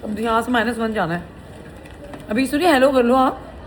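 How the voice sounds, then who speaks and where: A woman's voice: on the high side, moderate pace, slight background noise. A woman lifestyle vlogger talking casually to her viewers, often slipping English phrases into her Hindi. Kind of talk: casual chat to camera. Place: home kitchen while cooking.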